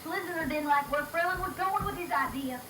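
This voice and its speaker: A woman's voice: sits high, brisk, lightly acted. A woman voicing a cartoon pony who reads a fan-fiction story aloud, on an old reel-to-reel tape. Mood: storytelling. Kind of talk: scripted fan-fiction narration. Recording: echoey, noisy reel-to-reel tape.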